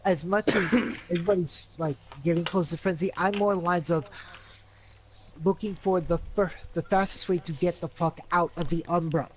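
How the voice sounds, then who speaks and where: A man's voice: sits high, steady tone, normal speed, slight background noise, poor audio. A man chatting casually as a player in a tabletop role-playing game.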